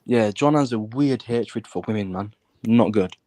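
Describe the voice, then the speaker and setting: A man's voice: on the low side, unhurried, dark tone, dry recording. A British man in casual conversation, talking in a blunt, insistent way and swearing freely. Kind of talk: casual chat.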